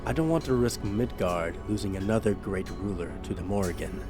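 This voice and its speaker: An actor plays a proud, boastful god-king in an audio drama, formal and grandiose. His pitch swings in lively rises, and music plays clearly under him. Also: a little fast, close to an everyday voice.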